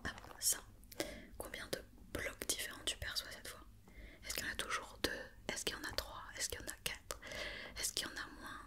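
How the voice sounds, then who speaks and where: A woman doing a whispered ASMR roleplay as a doctor, patiently and gently repeating questions and reassurances. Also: moderate pace.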